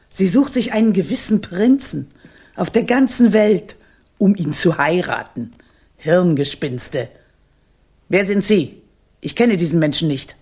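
Husky, haughty old witch grandmother. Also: lowish voice, a little mellow, exaggerated voice. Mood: scornful. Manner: haughty, biting, sardonic. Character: imperious old witch grandmother, scornful and scheming. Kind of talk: German radio play acting.

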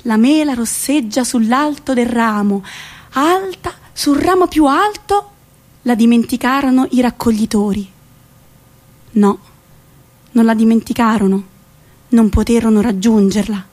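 A woman with a Roman, central Italian accent, telling a story theatrically in an expressive, dramatic manner. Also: on the high side, unhurried, pauses often. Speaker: stage actress narrating a monologue. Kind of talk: theatrical storytelling, solo radio play.

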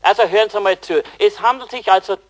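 A man's voice: high voice, fast, close to an everyday voice. An actor playing a chatty, muddled, oblivious man on the telephone, rambling and interrupting himself in a comic German radio play. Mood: eager, rambling. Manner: rambling, hesitant, self-interrupting.

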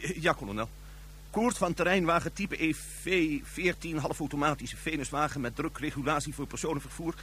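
Man playing a stammering, nervous high-voiced secret agent. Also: fairly quick, a bit bright, a little hiss or hum, close to an everyday voice. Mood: flustered, dutiful. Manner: stammering, flustered. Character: nervous, panicky male secret agent cracking under pressure. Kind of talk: radio drama acting.

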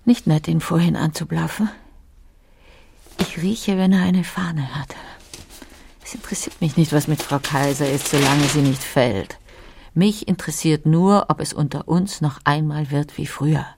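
An actress playing an embittered, wounded middle-aged wife, brooding soberly in a low voice in a radio drama monologue. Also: female voice, steady tone, normal speed, creaky, noisy background. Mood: hurt, brooding. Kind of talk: radio play interior monologue.

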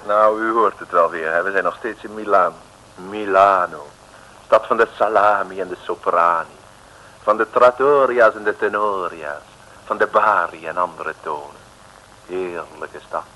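A man's voice: deep voice, a little slow, sharp tone, rough recording. A man narrating an old comic radio serial in a wry, playful storytelling manner with conversational asides.

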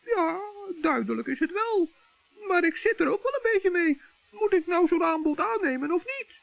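A man voicing a good-hearted, stubborn little forest gnome in a children's radio play, squeaky, creaky and earnest. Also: animated, moderate pace, dry recording, full character voice. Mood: puzzled, doubtful. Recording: dull, dry vintage radio recording.

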